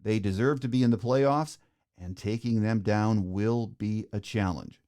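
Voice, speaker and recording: A man's voice: on the low side, a little slow, dark tone. A man hosting a sports podcast, reading a prepared opening and then interviewing his guest with easy fillers. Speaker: podcast host.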